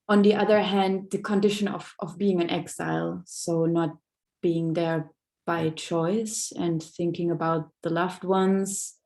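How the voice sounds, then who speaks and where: Woman from Germany, seminar panelist, soft-spoken, hesitant, very slow delivery, video call mic. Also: fairly low pitch. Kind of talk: personal reflection in an online seminar. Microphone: video call microphone.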